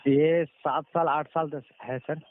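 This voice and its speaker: Guy caller with a slightly high voice on a thin phone line, super deferential, keeps repeating himself. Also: steady tone, slow, no echo. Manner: deferential, constantly says sir, repeats himself. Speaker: caller, patient giving a testimonial. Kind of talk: informal phone conversation. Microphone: telephone. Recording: narrowband phone call recording.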